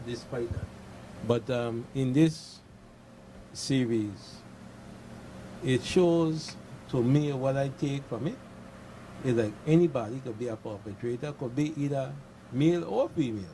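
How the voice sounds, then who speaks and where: A man's voice: mid-range voice, a little slow, long pauses, dark tone, a little hiss or hum, poor audio. A man on a radio panel talking casually and colloquially, peppering his points with "you know". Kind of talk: casual discussion.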